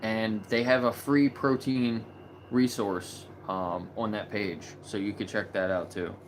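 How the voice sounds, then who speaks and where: A man hosting a live video chat, talking in a relaxed, chatty way with many fillers. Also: on the low side, a little slow, noisy background. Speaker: host of a live video chat about animal rights. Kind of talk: casual livestream chat.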